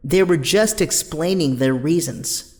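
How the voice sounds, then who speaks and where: A man playing a mild, conciliatory teenage boy in an audio drama, gentle and a little hesitant. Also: fairly high pitch, lively intonation, unhurried. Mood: conciliatory, resigned. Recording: long hall-like reverb.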